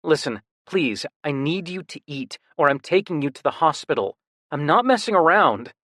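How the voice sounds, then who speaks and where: A man's voice: a little high, big pitch swings, normal speed, a bit bright, dry recording, studio quality, pitched above the performer's own voice. A man voicing a frantic worried younger brother. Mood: fierce insistent. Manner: urgent, pleading, swears when upset.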